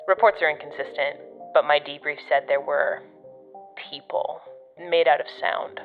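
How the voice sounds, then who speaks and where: A woman's voice: low voice, fairly even intonation, normal speed, narrow, tinny audio, soft background music. A woman playing a jaded agency operative in an audio drama, dry, sarcastic and casual, heard through a radio filter. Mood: matter-of-fact. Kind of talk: audio fiction podcast acting.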